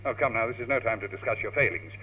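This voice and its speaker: An actor with a British accent playing a dry, worldly, teasing chap in an old radio comedy. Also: male voice, lowish voice, very fast, breathy edge. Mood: amused teasing. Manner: wry, sardonic, urbane. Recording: dull, noisy old radio tape, clicks.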